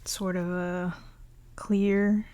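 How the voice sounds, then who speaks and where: Slow, soft-spoken woman doing ASMR. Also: fairly low pitch, clear, resonant tone, no room sound. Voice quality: soft, often whispery voice. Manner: relaxed, unhurried, chatty. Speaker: ASMR video creator showing a collection. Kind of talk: ASMR, slow casual show-and-tell.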